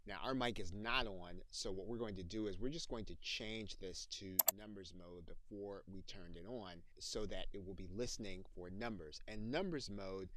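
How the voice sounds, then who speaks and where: A man giving methodical, explanatory instruction as the narrator of a software training screencast. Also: sits low, normal speed, few pauses, a little hiss or hum.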